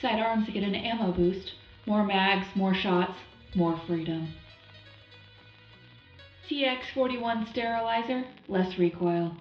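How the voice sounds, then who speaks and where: A woman's voice: mid-range voice, slow pace, roomy sound, muffled recording, soft background music, natural voice. A woman playing an upbeat, zealous wartime radio presenter, reading news in a punchy, propaganda-slogan style. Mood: upbeat, patriotic. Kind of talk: scripted in-universe radio news update.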